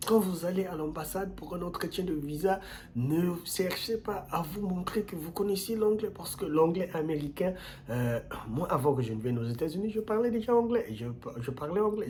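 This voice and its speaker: A man hosting his own channel, giving earnest advice in a casual way, repeating key phrases and jumping up in pitch on stressed words. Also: animated, fairly quick, warm tone, slight background noise. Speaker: YouTube channel host.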